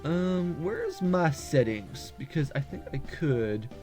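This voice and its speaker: A man's voice: a little high, animated, slow pace, warm tone. A man reading a visual novel aloud as a gaming video host, with casual asides and the odd stumble over words. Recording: music behind the voice. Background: game background music.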